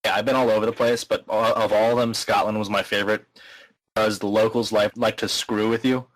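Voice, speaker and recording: A man's voice: on the low side, level tone, brisk, poor audio. A man chatting casually as a podcast guest, rambling and laughing often. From American. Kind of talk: casual chat.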